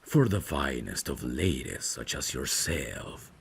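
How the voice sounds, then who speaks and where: A man's voice: sing-song, a little hiss or hum, heavily acted. A man voicing a courteous, devoted, centuries-old vampire butler in an ASMR roleplay, speaking formally and deferentially. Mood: earnest, tender. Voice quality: very deep, creaky. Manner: formal, deferential, measured servant's phrasing. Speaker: ASMR voice actor. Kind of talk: ASMR roleplay, dramatic scripted acting.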